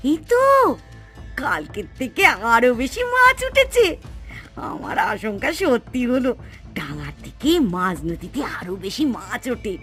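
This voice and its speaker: A woman's voice: fairly high pitch, big pitch swings, measured pace, fairly bright tone, husky, soft background music, heavily acted. A woman playing a greedy, scheming, impatient village aunt in a Bengali cartoon, with theatrical, exclamatory delivery. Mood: greedy excitement. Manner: exclamatory, theatrical, coaxing and scolding. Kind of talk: Bengali cartoon moral-story acting.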